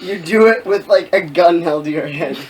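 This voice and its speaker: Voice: mid-range voice, moderate pace, over a noisy crowd. A co-host chatting casually and joking in reaction to someone else's reading.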